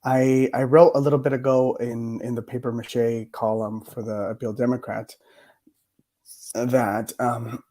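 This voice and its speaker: Male lecturer, mid pitch, mellow and slightly worn voice, hesitant reflective delivery. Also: level tone, a little slow. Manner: hesitant, many fillers and restarts. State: recovering from a cough, voice a bit worn. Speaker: poet laureate giving a lecture. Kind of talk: reflective mini lecture on poetry.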